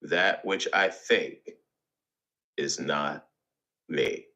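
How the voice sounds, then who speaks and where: A man reads a guided meditation in a very deep voice with a bright, forceful edge. He moves extremely slowly, setting down short phrases with deliberate pauses. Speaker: meditation reader.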